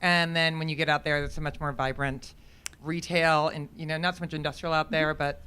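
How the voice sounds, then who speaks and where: A woman's voice: low-pitched, little pitch movement, brisk, bright, forceful tone, smooth. Rambling woman audience questioner. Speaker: audience member asking a question.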